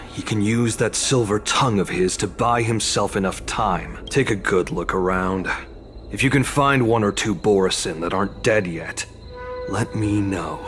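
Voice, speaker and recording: A man playing a cool, cynical young retainer to a general in a video game, with a dry, controlled, quietly threatening delivery. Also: fairly low pitch, lightly acted. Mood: sober. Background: game music.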